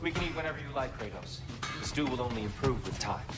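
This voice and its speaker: A man playing a gentle, humble, weary god in a video game, a former prisoner who speaks with courteous, apologetic care. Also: medium pitch, expressive, fast, over loud music, close to an everyday voice. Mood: welcoming. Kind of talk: video game character acting.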